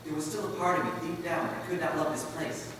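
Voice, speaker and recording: A man's voice: fairly high pitch, flat delivery, brisk, close to an everyday voice. A man as a wounded, earnest son, echoing hall. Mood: reflective. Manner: earnest dramatic storytelling. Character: grieving grown son of a slain missionary, wounded but forgiving. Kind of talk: dramatic stage recital, reader's theater. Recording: very echoey hall from far off, faint noise.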